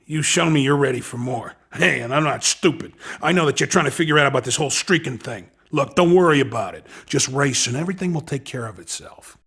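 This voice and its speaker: A man playing a cocky street racing recruiter in a video game, with a raspy voice and slangy, coaxing patter. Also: mid-range voice, lively intonation, fairly quick, hardly any pauses, a bit bright. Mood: cocky, encouraging. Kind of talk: video game character dialogue.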